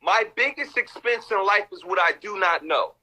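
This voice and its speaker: Husky, urgent motivational speaker man. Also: sits high, expressive, normal speed, pushed and bright. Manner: urgent, exhorting, addresses viewers directly. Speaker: co-host of a live stream. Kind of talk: motivational live-stream talk.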